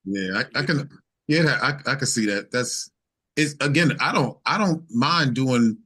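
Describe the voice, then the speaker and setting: A man's voice: medium pitch, animated, a little slow, pushed and bright, husky. A man speaking as a singer-songwriter guest in a casual interview, emphatic and repeating phrases as he builds his points.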